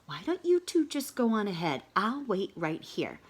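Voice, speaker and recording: A woman's voice: mid-range voice, sing-song, normal speed, slightly rough. A woman doing a timid, stammering storybook cow. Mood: nervous. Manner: stammering, nervous.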